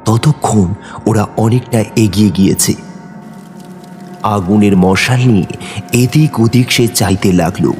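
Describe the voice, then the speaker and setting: A man narrating a horror audio story in a measured, suspenseful manner. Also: fairly low pitch, a little mellow. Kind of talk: dramatic scripted narration. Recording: reverberant studio with clear music. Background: music.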